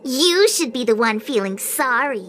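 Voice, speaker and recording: A woman's voice: fairly high pitch, lively intonation, a little slow, a bit bright, a little hiss or hum. A woman voicing a mean, taunting child bully in a game cutscene. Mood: scornful. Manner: taunting, sneering. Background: music.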